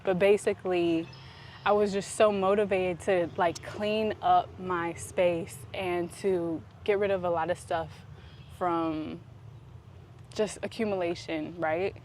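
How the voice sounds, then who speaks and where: Reflective woman over steady background noise. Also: lowish voice, slow. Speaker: vlog host. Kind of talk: reflective casual monologue.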